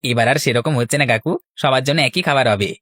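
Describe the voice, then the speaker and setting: A man's voice: medium pitch, fast, fairly bright tone, no room sound, natural voice. A man voicing a cheeky village youth in a cartoon, cajoling and pleading. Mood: insistent. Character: cheeky village youth collecting money for a feast. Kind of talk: Bengali cartoon voice acting.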